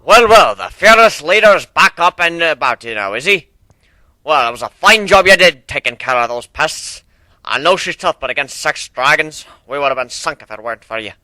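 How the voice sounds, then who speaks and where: A man's voice: on the high side, expressive, normal speed, sharp tone, no echo, poor audio. A man voicing a hearty, grateful ship captain in a folksy manner for a story reading.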